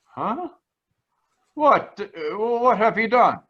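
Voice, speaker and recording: Pious immigrant in old radio play, slow halting talk, kinda high, muffled call audio. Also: male voice, sing-song, slightly rough, full character voice. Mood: astonished. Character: earnest Italian immigrant man, pious, grieving, slow-spoken. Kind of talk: 1940s radio drama acting. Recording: slightly dull video-call audio.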